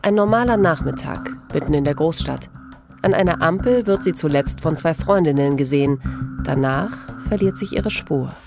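A woman's voice: low voice, expressive, moderate pace, creaky, music in the background. A woman co-hosting a true-crime podcast, telling and asking about a case. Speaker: podcast co-host, journalist.

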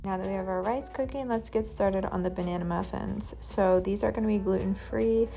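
A woman's voice: mid-range voice, brisk, gravelly, mellow. A young woman narrating her cooking in a casual, matter-of-fact way, explaining each step as she goes. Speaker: lifestyle vlogger. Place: home kitchen.

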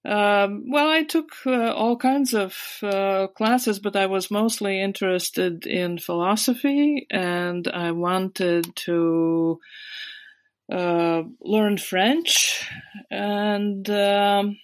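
A woman's voice: medium pitch, very slow, no echo. A woman in her sixties with a Latvian accent, recalling her past in an interview, hesitant with many fillers and restarts. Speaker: guest. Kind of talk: interview recollection.

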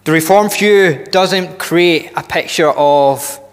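A man in his thirties preaching a sermon in a church hall on a headset mic, earnest and deliberate, often restarting and building emphasis. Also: a little high, clean tone. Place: church hall with long reverb.

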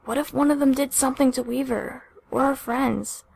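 A woman's voice: high-pitched, fairly even intonation, moderate pace, creaky, darkish tone, a little hiss or hum, pitched above the performer's own voice, poor audio. A woman voicing a worried, earnest young newcomer to a superhero team in an audiobook.

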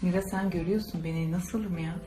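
A woman's voice: on the low side, fairly even intonation, fairly quick, warm tone, faint music behind. A woman co-hosting a video, chatting casually in a teasing, giggly way. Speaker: video co-host. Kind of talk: casual playful chat.

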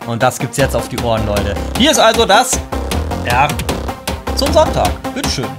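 A man in his forties hosting a casual radio show from a home music studio, chatty and lively. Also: mid-range voice, normal speed.

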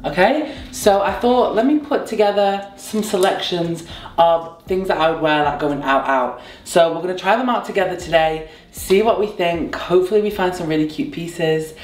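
A young woman with a hoarse, croaky voice from a sore throat, chatting playfully through a clothing try-on haul. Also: lowish voice, moderate pace, darkish tone. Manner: chatty, bubbly, playful asides. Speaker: fashion vlogger. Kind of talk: casual try-on haul chat. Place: bright indoor room at home. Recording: echoey room.

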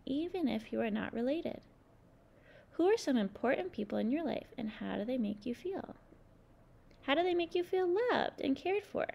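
A woman with a high voice and very lively pitch reads a picture book aloud for young children. Her delivery is expressive, with a creaky edge. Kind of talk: read-aloud storybook narration.

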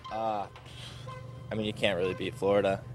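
A man's voice: fairly low pitch, flat delivery, moderate pace, over loud music. A young man from the United States, a soccer player giving easygoing, hesitant answers in a live interview on an outdoor field. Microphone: handheld mic held by interviewer.

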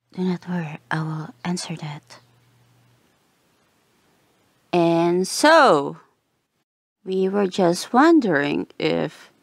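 A woman's voice: fairly low pitch, vocal fry, no room sound, exaggerated voice. A woman voicing a flustered, blunt tsundere childhood friend in an ASMR roleplay, hesitant and often dropping into whispers. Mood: nervous.

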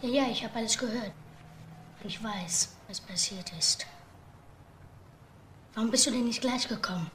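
A woman's voice: fairly high pitch, moderate pace, pauses often, a bit bright, close to an everyday voice. A woman playing an eager, anxious, devoted young person in a German crime radio play, fussing with short questions. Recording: echoing, noisy room sound.

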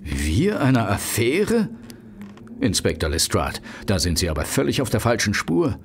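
An actor playing a defiant, loyal gentleman suspect in a German radio play, formal and indignant. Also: fairly low pitch, huge pitch swings, unhurried, husky, full character voice.

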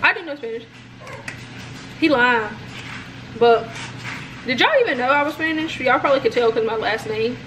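A woman's voice: on the high side, expressive, normal speed, pauses often, background noise. Chatty young woman vlogging in an echoey room. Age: young adult. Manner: chatty, rambling, says "y'all" a lot. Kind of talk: casual chat to camera. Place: home room indoors, bathroom later. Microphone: phone or camera propped low, close.

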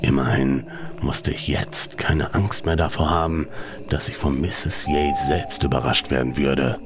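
A man reads a first-person horror tale in a very deep, creaky voice. He takes his time, measured and suspenseful, letting phrases sink into breathy hush. The delivery is slow and scripted. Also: steady tone, heavy background noise. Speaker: horror story narrator.

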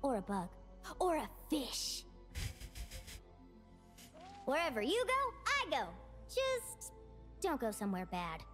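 High, childlike little magical cat. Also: female voice, huge pitch swings, slow pace, long pauses, fairly bright tone, soft background music, exaggerated voice. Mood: playful, clingy. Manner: cute, childlike, possessive. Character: playful, bossy little female magical cat. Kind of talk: video game character voice lines.

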